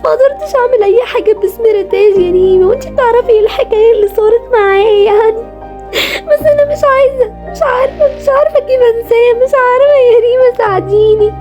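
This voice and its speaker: A woman's voice: extremely high pitch, fast talker, few pauses, darkish tone, dull audio, voice pushed up. A woman voicing a heartbroken young woman in a one-woman drama, crying. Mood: tearful. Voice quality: sobbing, breaking voice. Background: background music.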